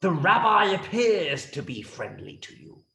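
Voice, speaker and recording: A man acts out a sly, bumbling male prime minister from a children's story. He is obsequious and halting, with big swoops in pitch and an unhurried, insinuating delivery in an echoey room. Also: big pitch swings, pushed and bright, exaggerated voice. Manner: stammering, throat-clearing, insinuating. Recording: roomy, echoey room sound.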